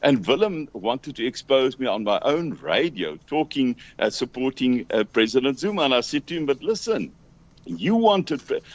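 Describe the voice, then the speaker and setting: A South African man talking earnestly as an interview guest, emphatic but halting in his delivery. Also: mid-range voice. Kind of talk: earnest conversational talk. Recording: dull lo-fi call audio.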